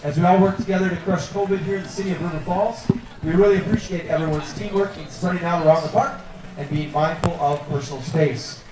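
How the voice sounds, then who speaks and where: A man making formal public address announcements at an outdoor ballpark, in a measured announcing manner. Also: fairly even intonation, noisy background. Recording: booming echo from a distant PA.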